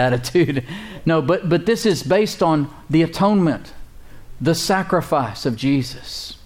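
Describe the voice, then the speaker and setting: A male pastor preaching a sermon in a conversational, emphatic way, repeating words and chuckling now and then. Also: mid-range voice.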